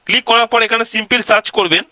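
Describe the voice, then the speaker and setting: Bright, sharp-voiced man giving a phone tutorial. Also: high voice, racing, slight background noise. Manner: repetitive instructional phrasing, addressing viewers directly. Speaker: tech tutorial host. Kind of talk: casual step-by-step phone tutorial.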